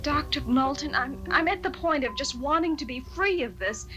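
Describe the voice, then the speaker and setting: A woman's voice: high-pitched, animated, normal speed, bright, forceful tone. A woman playing a fragile, hesitant young patient who fears madness in a 1970s radio drama. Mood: anxious. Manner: hesitant, distressed. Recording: live 1970s radio recording, music behind.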